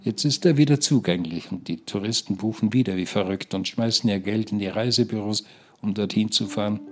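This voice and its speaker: An actor playing a stubborn, chatty retired husband who talks in a rambling, dryly persuasive, colloquial way. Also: male voice, mid-range voice, darkish tone, natural voice. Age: older. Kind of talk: satirical radio drama monologue, conversational.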